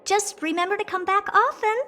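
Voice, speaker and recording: A woman's voice: very high-pitched, normal speed, bright, forceful tone. A woman voicing a haughty, blunt, self-important female genius who owns a space station, in an English video game dub. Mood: offhand, breezy. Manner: haughty, blunt, dismissive, easily intrigued.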